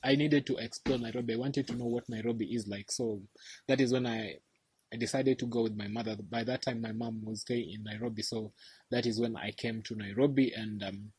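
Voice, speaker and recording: A young man with a Kenyan accent, a guest telling his life story in a casual interview, speaking softly and haltingly with many fillers. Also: mid-range voice, fairly even intonation, a little hiss or hum, poor audio. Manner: soft-spoken, hesitant, many fillers and restarts. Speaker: guest, documentary photographer.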